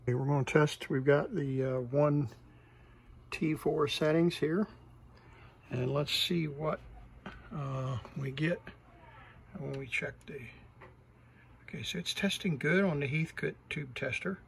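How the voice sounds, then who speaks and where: A man's voice: a little high, unhurried, creaky, long pauses, slight background noise. A middle-aged American man hosting a casual hands-on repair demonstration, hesitant and thinking aloud as he works. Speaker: host of a vintage radio repair channel. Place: indoor workshop with electronics benches.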